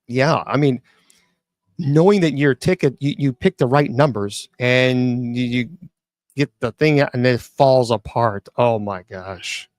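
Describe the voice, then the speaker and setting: A man's voice: mid-range voice, a little slow, no room sound, studio quality. A man hosting an open mic show, reading news stories aloud and chatting about them with frequent restarts. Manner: chatty, reads news aloud then riffs with many restarts. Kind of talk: casual commentary on news stories.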